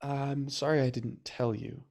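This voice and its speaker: A man playing a gentle, awkward, earnest young man in a naturalistic audio drama, speaking hesitantly and fumbling for words. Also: medium pitch, little pitch movement, a little slow, gravelly. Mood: tentative.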